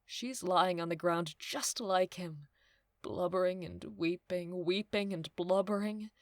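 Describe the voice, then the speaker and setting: A woman's voice: on the low side, measured pace, no echo, studio quality, close to an everyday voice. A woman playing a fretful, pitying nurse in a modern-language Shakespeare audio drama, lamenting and pleading with repeated phrases. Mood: pitying, mournful. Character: Juliet's female nurse, fretful, pitying, bossy servant.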